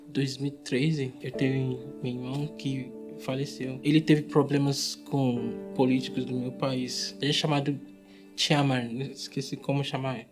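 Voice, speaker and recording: A man of twenty-six with a Nigerian accent, a non-native speaker, telling his story in a documentary testimonial and pausing to find words. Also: mid-range voice, level tone, over music. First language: not Portuguese. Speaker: refugee, English teacher and musician.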